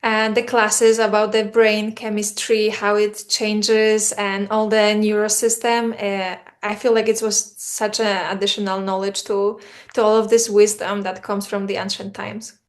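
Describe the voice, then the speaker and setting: A woman's voice: medium pitch, level tone, unhurried. A woman from Poland with a Polish accent, a coach giving a conversational testimonial.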